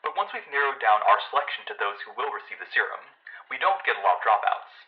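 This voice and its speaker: Muffled, animated, guarded corporate scientist. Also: male voice, lowish voice. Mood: measured, defensive. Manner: measured, defensive, official-sounding. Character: guarded, ambitious male senior lab technician. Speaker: interviewee. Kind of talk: audio drama interview acting.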